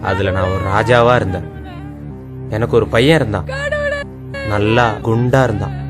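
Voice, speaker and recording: A man's voice: fairly low pitch, fairly even intonation, moderate pace, lightly acted. A man voicing a bewildered farmer father who muses in a dazed, puzzled way about dreams and grief, with music behind. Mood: dazed musing. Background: background music.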